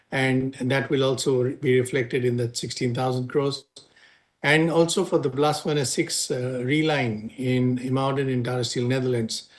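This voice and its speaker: A man with an Indian accent delivering prepared financial remarks as a company executive, formal and measured. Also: medium pitch. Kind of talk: prepared earnings call remarks.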